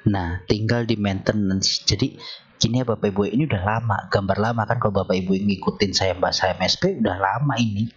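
A man with a hoarse, somewhat low voice walks through stock charts. He talks in a relaxed, chatty way, full of small fillers. The recording sounds dull and lo-fi. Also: fairly quick. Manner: relaxed, conversational, many fillers. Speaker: stock market analyst. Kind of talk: screen-share chart walkthrough.

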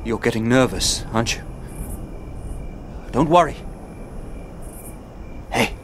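An actor playing a knight's eager, dogged younger brother in a historical radio drama. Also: male voice, mid-range voice, lively intonation, slow, noisy background. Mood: nervous, wry.

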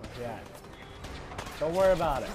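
A young man with a congested voice giving casual, swearing commentary while playing a game, mostly low and mumbled with sudden loud outbursts. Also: animated, brisk, music in the background. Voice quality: congested, stuffy. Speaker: gamer playing a shooter game.

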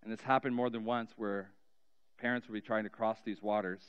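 A middle-aged man preaching as a pastor in a church sanctuary, telling a story earnestly and slowing down with soft, trailing endings. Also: fairly low pitch, steady tone, clear, resonant tone, lo-fi sound. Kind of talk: sermon storytelling and spoken prayer. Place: large church sanctuary, indoors. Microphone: cheek headset mic.

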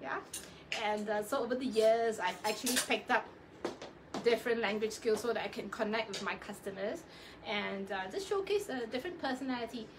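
A woman's voice: a little high, brisk, noisy background. A woman hosting a live fashion sales show in a chatty, effusive, coaxing way.

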